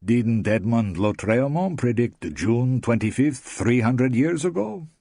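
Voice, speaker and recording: A man voicing a robust, jovial guest who speaks expansively in a raised, husky voice. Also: medium pitch, expressive, a little slow, dark tone, no room sound, studio quality, pitched above the performer's own voice.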